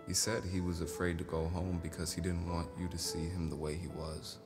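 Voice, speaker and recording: A man playing an awkward, reticent friend in grief in a stage drama, hesitant and gentle. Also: low voice, little pitch movement, a little fast, music in the background. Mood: hesitant, uneasy. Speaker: actor in a stage play reading.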